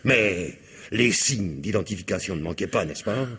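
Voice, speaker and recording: A man's voice: deep voice, expressive, moderate pace, bright, forceful tone, slightly rough, heavily acted. An actor playing a cold, sardonic man who gloats over his revenge in an old radio drama. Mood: coldly gloating. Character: cold vengeful man back from the dead. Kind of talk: 1960s French radio drama acting.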